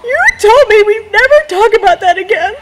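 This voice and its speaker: Young woman doing a squeaky, nagging puppet mom. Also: fairly quick, over a noisy crowd, heavy background noise. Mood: bickering. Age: young adult. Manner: squeaky, nagging, panicky shrieks. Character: shrill, fussy, churchy young mother. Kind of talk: live puppet show comedy. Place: gallery, live performance.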